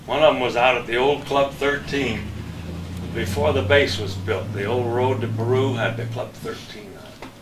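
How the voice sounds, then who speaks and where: A man's voice: medium pitch, fairly even intonation, moderate pace. An older man chatting casually and reminiscing as a guest on a panel of old broadcasting friends. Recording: echoey room, distant mic, clear noise.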